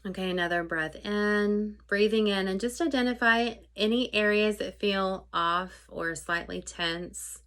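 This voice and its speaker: A woman leading a guided meditation in an informal, conversational way, unscripted, with halting phrasing that trails off softly. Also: mid-range voice.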